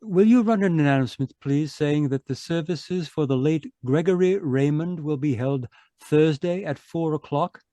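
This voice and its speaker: A businesslike funeral clerk, played by a man, talks courteously as if on a telephone. The voice is somewhat high and very soft-edged, matter-of-fact radio drama acting. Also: moderate pace, few pauses, no room sound, natural voice. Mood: polite, businesslike.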